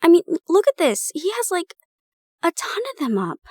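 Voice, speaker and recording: A young woman playing a protective roommate in an improvised audio roleplay, brisk and bossy, then gently soothing. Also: very high voice, sing-song, no echo, clean studio sound. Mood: chiding chat. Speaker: caring roommate.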